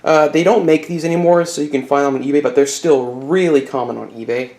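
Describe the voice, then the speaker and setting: A man's voice: a little high, a little fast, darkish tone. A man hosting an informal collection showcase, explaining items casually and giving buying tips. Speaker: YouTube collector and host.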